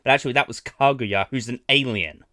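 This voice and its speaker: A man's voice: mid-range voice, fairly quick, bright, forceful tone, no room sound, studio quality. An Australian man hosting a casual interview with a guest. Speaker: interview host.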